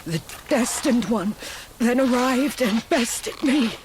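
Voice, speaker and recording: A woman's voice: a little high, animated, takes it slowly. An actress playing a wounded young female demon servant in a game, speaking hesitantly. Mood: distraught. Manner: faltering, hesitant. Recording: noisy game audio.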